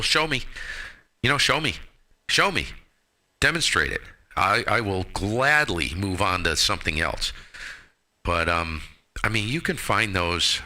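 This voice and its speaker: A man's voice: fairly low pitch, bright, forceful tone. A man chatting casually as a ham radio operator, with an emphatic, slangy and colorful way of talking. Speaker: amateur radio operator and streamer.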